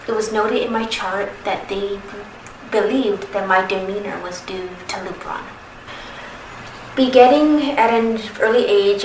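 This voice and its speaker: A woman in her thirties who stutters, speaking slowly with pauses and restarts in a personal testimony. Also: medium pitch. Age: 30s. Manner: stutters, with repeated sounds and restarts; slow, careful, with pauses. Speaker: documentary submitter. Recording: roomy, distant from the mic, noisy background.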